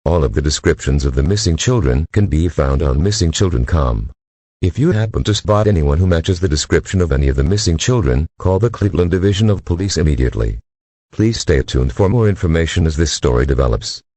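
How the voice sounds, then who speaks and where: A low, dark male announcer voice reads a scripted emergency alert. It is husky and mechanical, formal and impersonal throughout. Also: animated, brisk, no echo.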